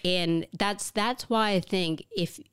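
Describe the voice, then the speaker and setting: A woman's voice: on the low side, slow, a bit bright, clear, resonant tone, dry recording. Woman with a clean, dry, confessional voice. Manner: frank and confessional, swears casually, acts out remembered lines. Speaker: podcast co-host. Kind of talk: candid conversational podcast chat.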